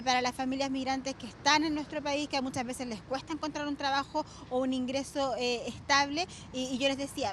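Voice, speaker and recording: A woman with a Chilean accent giving a live news report in a halting, hesitant delivery. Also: high voice. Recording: outdoor street noise, passing vehicles. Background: faint traffic noise.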